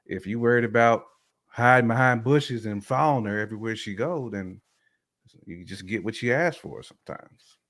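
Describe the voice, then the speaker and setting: A man's voice: medium pitch, normal speed, a bit bright. A man giving his opinions in casual, slangy conversation as a guest on a talk show. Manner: colloquial, slangy phrasing.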